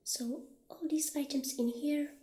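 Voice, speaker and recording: A woman playing a friendly witch shopkeeper in a soft-spoken ASMR roleplay, gentle and hesitant, with a breathy, half-whispered voice. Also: sits high, steady tone, voice pushed up. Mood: welcoming. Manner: gentle, hesitant, halting delivery. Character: friendly, humble witch shopkeeper selling magic wares. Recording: roomy echo, clear background noise.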